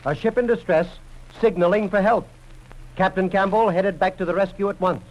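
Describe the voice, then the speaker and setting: High-pitched man announcing a radio serial. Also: fairly even intonation, moderate pace, low-quality recording. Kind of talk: dramatic scripted old-time radio narration.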